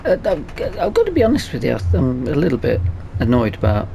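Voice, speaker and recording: A man's voice: medium pitch, huge pitch swings, fairly quick, vocal fry, background noise. A British man giving casual let's play commentary, musing aloud to himself as he goes. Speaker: gaming YouTuber.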